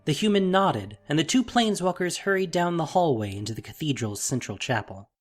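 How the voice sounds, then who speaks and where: A narrator reading scripted fantasy drama in an expressive, deliberate storytelling manner. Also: medium pitch. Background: faint music.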